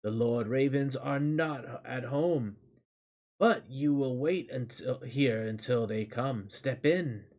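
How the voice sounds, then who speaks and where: A man voicing a courteous little dwarf gatekeeper from a storybook, speaking in a measured, formal, polite way. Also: mid-range voice, darkish tone.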